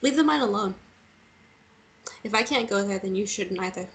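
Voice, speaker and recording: A woman's voice: mid-range voice, normal speed, close to an everyday voice. A woman playing a wounded, lovesick young woman in a dramatic screenplay reading, emotional and pressing, faltering when shaken. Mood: angry, challenging. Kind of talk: dramatic table read of a romance screenplay. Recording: clear background noise and ambience.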